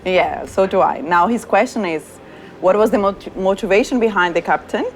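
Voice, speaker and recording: A woman's voice: medium pitch, normal speed, a little hiss or hum, low-quality recording. A woman with a Brazilian accent, a product manager cheerfully reading viewer questions to a colleague in a casual chat, laughing often. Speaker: product manager hosting a community Q&A.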